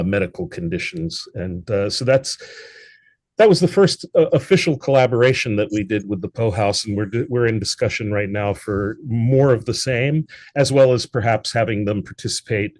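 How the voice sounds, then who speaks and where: A man's voice: fairly low pitch, normal speed, darkish tone, dry recording. An articulate, enthusiastic man speaking conversationally as a guest in an interview. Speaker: interview guest, theatre founder and producer.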